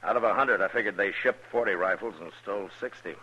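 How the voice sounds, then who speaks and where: A man acting a steady, tough Old West marshal in a radio western, terse, dry and commanding. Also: on the low side, fast, husky. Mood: easy, frank. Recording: dull, noisy old radio recording.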